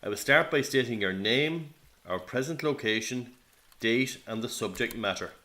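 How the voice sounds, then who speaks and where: An actor playing a polite, businesslike military bureau interviewer in a radio play, speaking formally with an Irish accent. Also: male voice, mid-range voice, animated, normal speed, pushed and bright. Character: courteous, businesslike military bureau official taking testimony.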